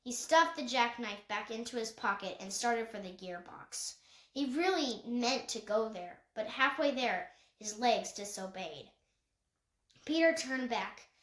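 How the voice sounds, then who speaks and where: Female story reader, higher pitch, occasional slips, roomy echo. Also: fairly bright tone. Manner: occasional stumbles and self-corrections while reading. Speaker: audiobook reader. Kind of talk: scripted narration, reading a children's novel aloud. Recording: echoey untreated room.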